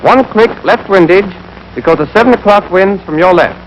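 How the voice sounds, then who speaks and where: A man's voice: sits high, normal speed, lo-fi sound. A young American man giving scripted, clipped, step-by-step marksmanship instruction as an army instructor in a 1940s training film. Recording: noisy 1940s film soundtrack.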